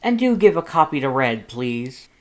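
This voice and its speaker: A man's voice: mid-range voice, sing-song, normal speed, lightly acted. A man voicing a pompous, dramatic male skeleton with a grandiose, formal, over-enunciated way of talking. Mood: delighted.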